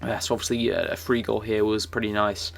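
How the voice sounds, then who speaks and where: A young man giving casual, rambling commentary over his football game footage, his voice croaky and full of fillers and restarts. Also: lowish voice, little pitch movement, a little fast, faint music behind. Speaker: gaming YouTuber.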